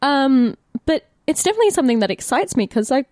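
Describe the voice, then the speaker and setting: A woman's voice: high-pitched, very animated, quick pace, a little mellow, no echo, studio quality. An Australian woman in casual podcast chat, speaking informally and hesitantly as she thinks aloud. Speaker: podcast guest, tabletop player and actor.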